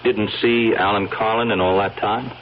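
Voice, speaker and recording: A man's voice: lowish voice, fairly even intonation, normal speed, close to an everyday voice. An actor in a classic radio drama playing a polite, hesitant man who probes with careful questions. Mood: polite, probing. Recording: muffled, noisy old radio recording.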